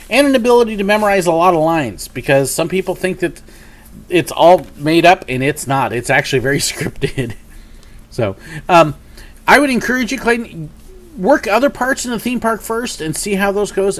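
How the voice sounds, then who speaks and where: Man in his 40s, upbeat live-stream host, lively up-and-down delivery, fairly high voice, slight sniffle. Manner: chatty, upbeat, rambling with many fillers. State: sniffly from a cold. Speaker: YouTube host. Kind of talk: live Q&A and mail call. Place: home office with shelves of collectibles.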